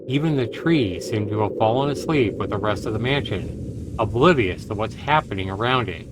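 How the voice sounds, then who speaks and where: A man livestreaming, reading game text aloud like a dramatic storyteller, with casual asides. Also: lowish voice. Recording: clear background noise on stream.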